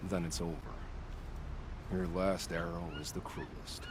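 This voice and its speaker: A man's voice: sits low, little pitch movement, a little slow, heavy background noise. An actor playing a suave, bloodthirsty hunter in a video game, poetic and dramatic, savoring his phrases. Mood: wounded. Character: suave, bloodthirsty male hunter, wistful and theatrical. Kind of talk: video game cutscene acting.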